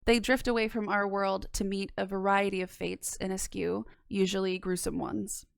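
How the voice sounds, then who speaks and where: Casting: young woman, video essayist, smooth but creaky voice, scripted delivery. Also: mid-range voice, little pitch movement, normal speed, no room sound, clean studio sound. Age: young adult. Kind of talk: scripted analysis narration. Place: small indoor room. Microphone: close microphone on boom arm.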